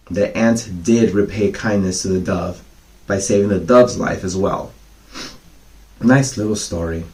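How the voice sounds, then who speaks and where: A man reading children's bedtime fables as a storyteller, with casual, gently instructive asides to the kids. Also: on the low side. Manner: gently didactic, explains each moral.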